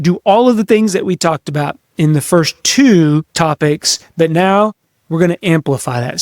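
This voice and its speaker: A man's voice: on the high side, darkish tone, no room sound, studio quality. A man hosting a leadership podcast, coaching listeners directly in an earnest, emphatic way and repeating key phrases for stress. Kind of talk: motivational coaching monologue, direct address to listeners.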